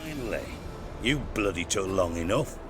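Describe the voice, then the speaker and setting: Deep low voiced wry middle-aged spymaster guy, blunt, crude, theatrical, video game cutscene. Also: lively intonation, moderate pace, over music. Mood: gruff grumbling. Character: cynical, wry, heavyset older spymaster man, crude-tongued.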